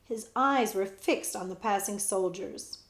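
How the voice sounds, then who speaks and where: A woman librarian reading a children's book aloud with expressive storytelling, dropping to whispers at times. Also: medium pitch, unhurried, roomy sound. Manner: expressive storytelling, shifts between whispers and loud voices.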